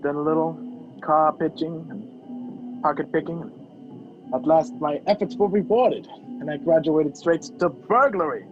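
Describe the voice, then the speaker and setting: Music plays clearly behind a slightly dull video-call recording. A sardonic fugitive man, acted by a young man, speaks in a fairly high voice, full of dry sarcasm, in amateur radio-play style. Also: a little slow, lightly acted. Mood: mock confessional. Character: wisecracking fugitive man, sardonic, handcuffed to a woman.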